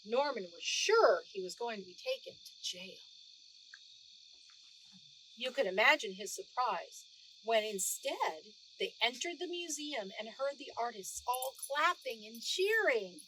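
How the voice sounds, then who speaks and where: A woman narrating a children's storybook aloud in an expressive read-aloud style. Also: a little high, measured pace, a little hiss or hum, low-quality recording. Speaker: storybook reader.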